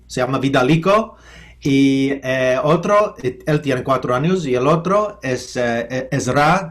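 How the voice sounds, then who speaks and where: A man with a fairly high voice and an American English accent. He is a professor being interviewed, and he picks his words with care, stopping and starting often. English is his first language. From United States. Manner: halting, thoughtful, with many restarts and fillers. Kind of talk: remote interview.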